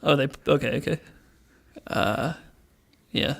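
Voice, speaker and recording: A man's voice: mid-range voice, slow pace, vocal fry. A man chatting as a player in a tabletop game, hesitant, in broken casual phrases.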